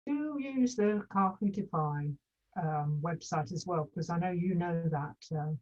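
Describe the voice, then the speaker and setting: A husky-voiced woman sounds some distance from the microphone, slightly dull in the recording. She speaks casually in a meeting, feeling her way through suggestions. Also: fairly low pitch, measured pace, darkish tone.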